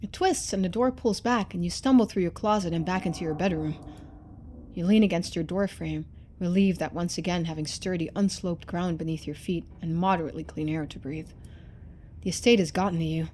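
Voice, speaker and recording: A woman's voice: fairly low pitch, a little fast, darkish tone, a little hiss or hum. A woman game streamer reading a visual novel aloud, switching into playful, chatty live commentary.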